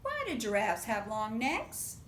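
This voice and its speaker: Woman, high voice, unhurried pace, expressive children's storytime reader, animated, questioning, roomy echo. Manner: animated, asks young listeners questions. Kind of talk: expressive picture-book read-aloud for young children. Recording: roomy echo with faint low rumble.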